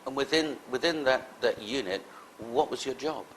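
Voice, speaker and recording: A man interviewing a guest, asking brief, measured questions. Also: mid-range voice, slight background noise.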